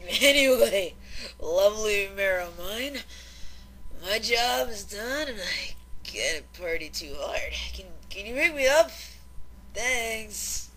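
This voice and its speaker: Woman acting a slow, drunk unicorn party girl. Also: medium pitch, lively intonation, a bit bright, a little hiss or hum. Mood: tipsy, cajoling. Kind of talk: acted voicemail monologue in fan fiction reading.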